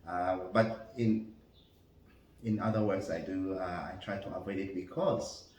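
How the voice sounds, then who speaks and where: Low-voiced priest, distant and echoey. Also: male voice, steady tone, a little slow, darkish tone. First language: French. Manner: thoughtful, hesitant, many fillers and restarts. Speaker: parish priest and school chaplain. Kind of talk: interview answers. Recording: roomy echo, distant from mic, faint background noise.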